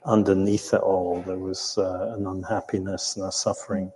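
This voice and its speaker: An older man recounting his own life in an interview, speaking reflectively in a halting, thoughtful way with long hesitations. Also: low-pitched, level tone, a little mellow, husky. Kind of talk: reflective storytelling in conversation. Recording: roomy hall with long reverb.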